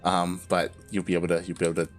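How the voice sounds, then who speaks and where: A man doing relaxed, measured play-by-play as co-caster of a live strategy match. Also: low voice, faint music behind. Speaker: esports co-caster.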